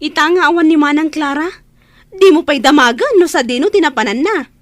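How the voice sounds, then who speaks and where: Indignant younger sister, female actor: very high pitch, close dry sound, outspoken, insistent scolding. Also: fairly quick, no room sound, natural voice. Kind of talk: Ilocano radio drama acting.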